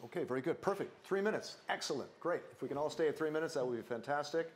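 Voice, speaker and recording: A man with an American accent moderating a panel discussion, upbeat and halting with many fillers. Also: on the high side, lively intonation.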